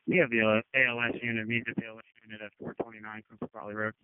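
Male responder radio calls: extremely quick, mid pitch, dry and tinny narrowband channel. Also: flat delivery, clean tone, no echo. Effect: two-way radio. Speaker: emergency responder calling in by radio. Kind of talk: rapid procedural radio calls.

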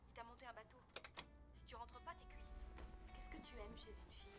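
Woman acting caring young student, French accent, fairly high voice, tentative, dubbed film. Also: brisk, a bit bright, soft background music, close to an everyday voice. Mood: hesitant, concerned. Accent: French (France).

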